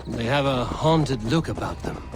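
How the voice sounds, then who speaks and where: A man playing a dry-witted, steady Viking chieftain in video game dialogue. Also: mid-range voice, a little slow, music in the background, natural voice. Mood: wry, measured.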